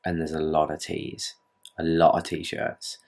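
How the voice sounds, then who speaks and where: A man's voice: extremely low pitch, completely flat delivery, unhurried, a little mellow. A young British man in his twenties, rambling earnestly to his camera at night in his bedroom, swearing casually. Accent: British English. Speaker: fitness vlogger. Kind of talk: casual vlog talk to camera.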